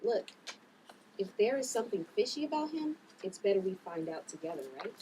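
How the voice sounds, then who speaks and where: A woman's voice: mid-range voice, sing-song, fairly quick, dark tone, lightly acted, rough recording. A woman playing a blunt, sensible sister with superpowers in a table read. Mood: blunt, firm. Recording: room recording with background noise.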